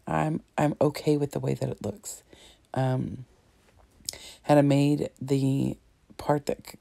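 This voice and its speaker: A hoarse woman in her thirties chatting through a scrapbooking tutorial, rambling with frequent ums and throat-clearing. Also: sits low, mellow, dry recording. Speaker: scrapbooking crafter. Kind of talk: casual craft tutorial narration.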